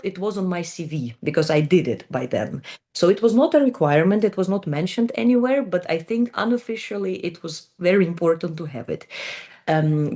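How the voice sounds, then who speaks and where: A woman's voice: low voice, dark tone. A Ukrainian woman in her twenties whose first language is Russian, speaking fluent but non-native English as an interview guest, with frequent ums and repeated words. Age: 20s. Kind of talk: casual interview talk.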